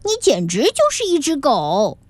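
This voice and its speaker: A woman's voice: sits high, expressive, normal speed, dry recording. A woman doing a pouty squealing child. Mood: eager. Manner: whiny, squealing when upset. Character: pouty, teasing young child.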